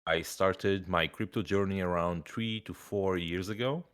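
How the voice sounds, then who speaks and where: A man from Portugal, a company executive, talking in relaxed, casual interview style with easy laughs and fillers. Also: low-pitched, measured pace, clear, resonant tone. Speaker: CEO.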